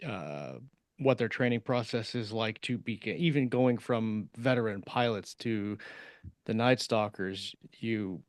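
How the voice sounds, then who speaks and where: Low-voiced man, dry close sound. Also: a little slow, dry recording. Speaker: podcast co-host. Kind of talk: casual chat.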